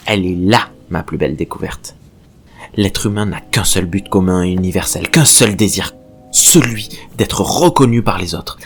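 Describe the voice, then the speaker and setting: A man's voice: deep voice, moderate pace, soft background music. An actor playing an obsessive, exalted male researcher given to professorial philosophical tirades in a French audio drama. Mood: exalted, lecturing. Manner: professorial, fervent, rambling monologues. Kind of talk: French science-fiction audio drama acting. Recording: clear background noise and ambience.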